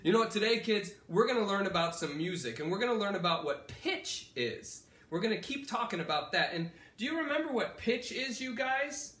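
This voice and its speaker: A man's voice: fairly quick, few pauses. A man in his thirties leads a silly music lesson for children, his voice leaping all over the place. He is recorded from a camera across a living room, so the sound is roomy and echoey. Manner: silly, animated, jumps into high squeals. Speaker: music teacher. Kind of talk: playful kids' music lesson. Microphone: far camera.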